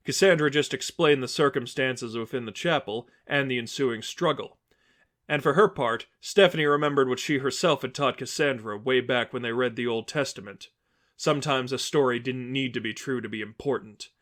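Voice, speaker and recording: A man's voice: medium pitch, moderate pace, a bit bright. A man reading scripted fiction as a narrator, in a storytelling style.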